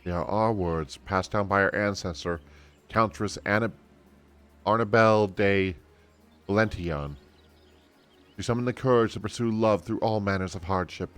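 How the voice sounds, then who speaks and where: A man's voice: on the low side, normal speed, pauses often, fairly bright tone, smooth, natural voice. A man voicing a loyal, earnest noblewoman mentor from a fantasy game, in stately, old-fashioned phrasing. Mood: stirring.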